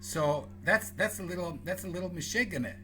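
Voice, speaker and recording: Man, interview host, teasing, repeats words, sharp bright voice, faint hum. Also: high voice, normal speed. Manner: playful, teasing, stumbling restarts. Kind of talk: conversational interview.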